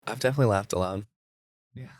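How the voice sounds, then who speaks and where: A man's voice: fairly low pitch, quick pace, no echo. A man co-hosting a podcast in casual chat, blunt and informal, often trailing off mid-thought. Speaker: podcast co-host.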